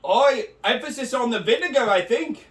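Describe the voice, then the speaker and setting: A man's voice: very high voice, lively intonation, moderate pace, a bit bright. A man doing rowdy improvised comedy in a put-on British bloke voice, teasing his partner. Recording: echoey room, far from mic.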